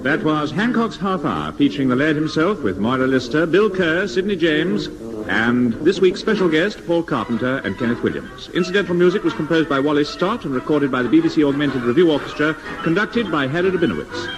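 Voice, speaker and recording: Music plays behind a male announcer. He has a slightly high voice and reads in a formal, scripted style of 1950s radio. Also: lively intonation, brisk, low-quality recording. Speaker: continuity announcer.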